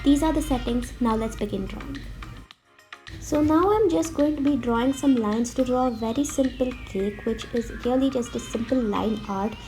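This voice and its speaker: A woman with a slightly sick-sounding voice, explaining a drawing tutorial step by step in a friendly, relaxed way. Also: on the high side, normal speed. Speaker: art tutorial host. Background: faint background music.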